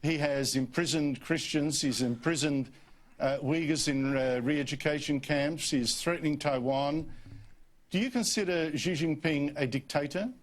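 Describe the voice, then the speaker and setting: A man's voice: on the high side, moderate pace. A man interviewing a politician, pressing his questions and cutting in. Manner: persistent, interrupting.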